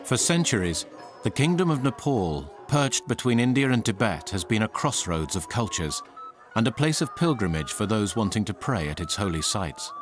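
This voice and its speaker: Lively low-voiced male documentary narrator. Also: normal speed. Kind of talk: scripted narration. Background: music.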